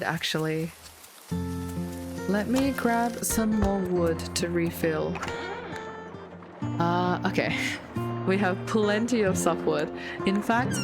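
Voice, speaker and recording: A woman with a slightly hoarse voice, chatting casually through her gameplay with light puns and frequent ums. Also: on the low side. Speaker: gaming video creator. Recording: loud game music with rain sounds behind.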